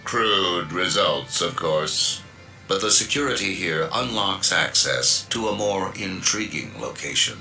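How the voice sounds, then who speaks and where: An actor playing a cold, imperious alien machine-intelligence villain in a video game, gravelly, haughty and deliberate. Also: male voice, deep voice, lively intonation, bright, forceful tone, full character voice. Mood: cold disdain. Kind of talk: video game cutscene acting. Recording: game music behind.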